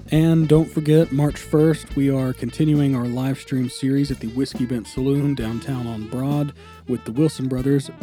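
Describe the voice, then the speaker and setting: A man hosting a music podcast, sounding stuffy and congested as he talks in a relaxed way full of ums and uhs. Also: mid-range voice. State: recovering from illness, stuffy nose. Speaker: podcast host. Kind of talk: casual podcast intro and interview.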